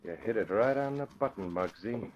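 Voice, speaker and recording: Low-voiced tough, scheming gangster. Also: male voice, animated, normal speed, a little mellow. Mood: cocky, scheming. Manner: slangy wise-guy gangster talk. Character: tough, scheming male escaped convict gangster, vengeful and cocky. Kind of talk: 1940s radio acting. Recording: muffled old radio recording.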